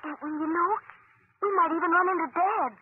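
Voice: extremely high pitch, fairly even intonation, quick pace, slight background noise. A performer playing an earnest, plucky, polite young boy in a 1930s radio drama. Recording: tinny, band-limited 1930s radio recording.

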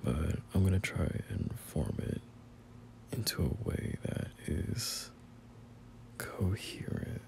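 A young man playing a bashful, teasing friend turned boyfriend in an intimate roleplay audio, speaking in halting, murmured slang. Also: sits low, expressive, vocal fry, a little mellow, in a whisper, exaggerated voice. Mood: awkward, sincere.

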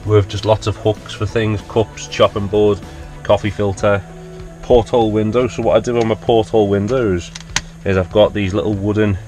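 A man's voice: on the low side, fairly even intonation, normal speed, darkish tone, soft background music. A man in his thirties with a Liverpool accent, chattily showing his camper van and explaining each feature as he points it out. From Liverpool, England. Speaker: van dweller giving a van tour. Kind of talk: casual vlog tour narration. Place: inside a small wooden camper van. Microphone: handheld action camera close up.

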